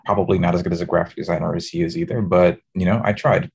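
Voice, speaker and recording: A man from New City, a design professor giving thoughtful, measured answers as a podcast guest. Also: sits low, level tone, gravelly, a little mellow, breathy edge. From New York City. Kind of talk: podcast interview.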